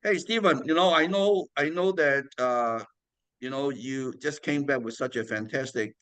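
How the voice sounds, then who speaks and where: A man's voice: mid-range voice, moderate pace, a bit bright, no echo. A man hosting an interview, asking friendly, rambling questions with lots of fillers. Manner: friendly, rambling with many "you know" fillers. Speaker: interview host.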